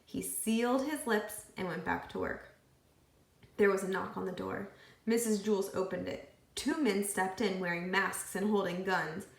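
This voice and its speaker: Female children's story reader, animated and deliberate, some vocal fry, recorded in an echoey room. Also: medium pitch. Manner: animated, deliberate phrasing with acted dialogue. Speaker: read-aloud storyteller. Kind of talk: expressive children's book read-aloud.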